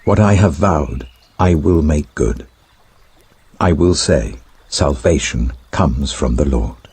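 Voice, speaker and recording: A man's voice: very deep voice, lively intonation, slow, a little mellow, slightly rough, no echo, pitched below the performer's own voice, exaggerated voice. A British man voicing a grave, devout, repentant prophet in a solemn, declamatory scripture reading. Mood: anguished, prayerful.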